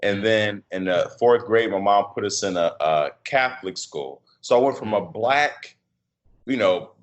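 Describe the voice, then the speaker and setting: A middle-aged man from Chicago with a deep voice, a podcast guest talking in a reflective, halting way with many fillers and restarts. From Chicago, USA. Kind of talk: casual interview conversation.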